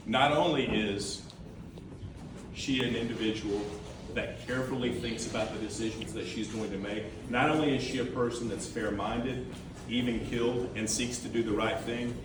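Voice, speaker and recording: A man's voice: fairly low pitch, noisy background. A man giving an earnest campaign speech to a crowd as the campaign treasurer. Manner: earnest, repeats key words for emphasis. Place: large indoor room with a crowd. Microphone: room microphone heard from a distance. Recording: echoey room recorded from far off.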